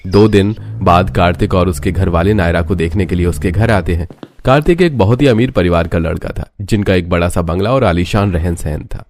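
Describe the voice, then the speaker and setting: Low-voiced man narrating a story. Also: brisk, darkish tone.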